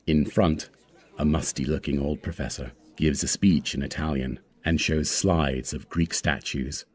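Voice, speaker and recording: A man's voice: rumbling low, fairly even intonation, unhurried, breathy edge. A man reading screenplay stage directions as scripted narration.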